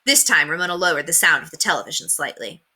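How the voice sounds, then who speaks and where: A woman reads a children's story aloud in a very bright, crisp-edged voice. Her pitch sits a little low but moves in lively, expressive swings. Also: fast talker. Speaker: read-aloud narrator. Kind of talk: scripted children's story narration.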